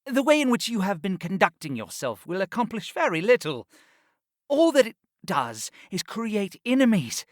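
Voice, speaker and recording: Proud scheming courtier in his fifties , played by a man: deferential but insistent, very varied pitch, audiobook drama. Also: big pitch swings, moderate pace, no room sound, clean studio sound. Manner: formal, deferential yet insistent. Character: proud, pleading fifty-six-year-old nobleman schemer. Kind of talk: full-cast fantasy audiobook acting.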